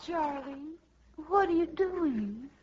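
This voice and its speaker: An actress playing a devoted wife, cooing and consoling in melodramatic 1950s radio comedy style. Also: female voice, high-pitched, expressive, slow, darkish tone. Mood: soothing. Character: devoted, soothing wife of a worried man. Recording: dull noisy 1950s radio, audience behind.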